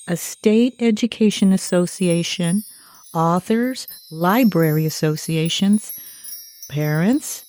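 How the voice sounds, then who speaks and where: Slow, mellow actress as a book-rescuing woman advocate. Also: mid-range voice. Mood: reassuring, solemn. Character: calm woman advocate who rescues banned books. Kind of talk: stage puppet musical acting.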